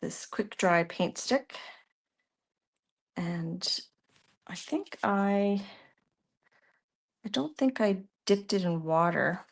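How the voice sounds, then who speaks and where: A Canadian woman hosts a live art session in a middle-pitched voice. She chats as she works, thinking aloud and answering viewers as their questions come in. Her sentences often wander off unfinished. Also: lively intonation, extremely slow, gravelly, pauses often. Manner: chatty, thinks aloud while working, trails off mid-sentence. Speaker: art tutorial host.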